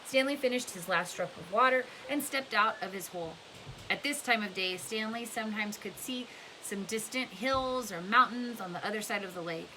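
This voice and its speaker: A woman narrating a novel aloud in an expressive storybook style, with a faint hiss in the recording. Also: medium pitch, moderate pace, poor audio.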